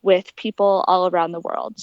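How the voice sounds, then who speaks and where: A woman's voice: lowish voice, fairly even intonation, moderate pace, no echo. Young woman, dull lo-fi audio. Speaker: interview guest, aspiring astronaut. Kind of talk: conversational explanatory interview answers.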